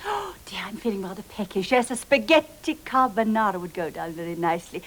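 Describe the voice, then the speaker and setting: A woman's voice: medium pitch, lively intonation, brisk, a little hiss or hum, close to an everyday voice. A woman playing a genteel, dotty lady diner in a children's comedy, affected, twee and condescending. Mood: dreamy relish. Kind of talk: children's comedy show acting.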